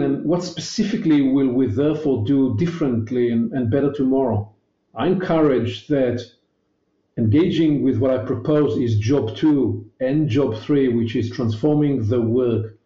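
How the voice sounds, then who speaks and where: A man's voice: medium pitch, mellow, echoey room, lo-fi sound. A man with an Israeli accent, a native Hebrew speaker, answering interview questions earnestly and emphatically, often repeating words. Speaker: guest.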